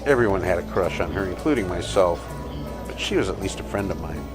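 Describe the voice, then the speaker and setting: Male narrator, low voice, reflective scripted first-person storytelling for an audio play, music underneath. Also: expressive, a little fast.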